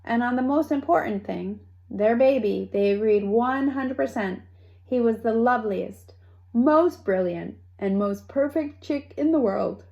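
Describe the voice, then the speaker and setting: Slow, expressive woman reading a storybook. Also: fairly high pitch, creaky, lo-fi sound. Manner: expressive, with playful asides to young listeners. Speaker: storybook reader. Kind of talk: children's read-aloud storytelling.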